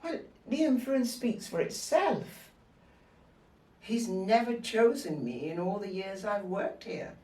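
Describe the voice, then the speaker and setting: An actress playing a composed, dignified nursing sister who speaks in a measured, formal, pointed way in a scripted drama. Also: female voice, mid-range voice, lively intonation, mellow, natural voice. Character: composed, dignified senior nurse, quietly defiant. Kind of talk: scripted stage-drama acting. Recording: distant mic in echoey room.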